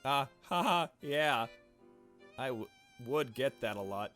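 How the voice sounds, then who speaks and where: A man's voice: mid-range voice, expressive, fairly bright tone, soft background music. Man reading as a shy, hesitant young woman. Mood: flustered. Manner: hesitant, halting. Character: shy, nervous, awkward young woman who talks to ghosts.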